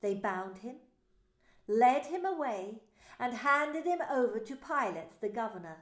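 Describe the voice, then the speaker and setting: A woman's voice: on the high side, very animated, a little slow, fairly bright tone. A woman minister narrating a dramatised scripture story, telling it expressively. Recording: roomy echo.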